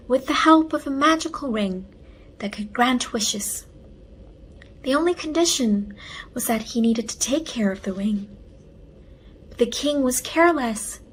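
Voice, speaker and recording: Woman storyteller, high-ish voice, very unhurried pace, expressive children's narration, some background noise. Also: low-quality recording. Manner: unhurried, enunciated read-aloud. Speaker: storyteller reading a children's book.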